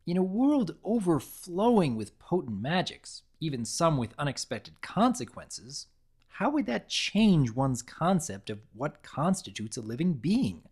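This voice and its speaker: A woman's voice: deep voice, very animated, unhurried, darkish tone, breathy edge. A woman narrating a scripted story as a dramatic reading.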